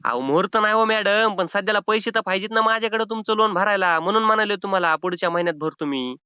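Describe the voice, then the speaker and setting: A man's voice: sits high, quick pace, sharp tone, smooth, no room sound. A man playing a cheeky, evasive rural Maharashtrian borrower with a whiny, argumentative way of stalling in a comic phone call. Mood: whiny excuses. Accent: rural Maharashtrian Marathi. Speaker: prank caller playing a loan defaulter. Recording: slightly dull phone-call audio.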